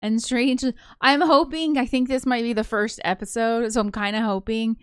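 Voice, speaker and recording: Lady reading a visual novel out loud, chatty n giggly between lines, really dry sound. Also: a little high, fairly even intonation, normal speed, smooth, no echo. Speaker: co-host of a gaming show. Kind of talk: reading a visual novel aloud with casual commentary.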